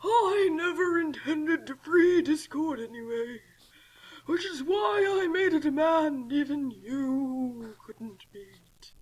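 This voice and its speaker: Smug evil dictionary villain, played by a woman, storybook style: high-pitched, theatrical, drawn-out gloating. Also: lively intonation, low-quality recording. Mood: smug, scornful.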